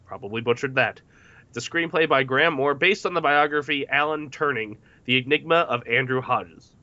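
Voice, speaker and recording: A man's voice: mid-range voice, brisk, pushed and bright, no room sound, highs cut off. A man hosting a casual film discussion, partly reading from notes in a jokey, self-mocking way. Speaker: podcast host.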